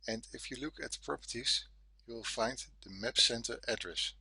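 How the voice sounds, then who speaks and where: Husky Dutch man with a sharp edge. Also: medium pitch, moderate pace, dry recording. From Netherlands. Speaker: software developer, guest presenter. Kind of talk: software demonstration tutorial.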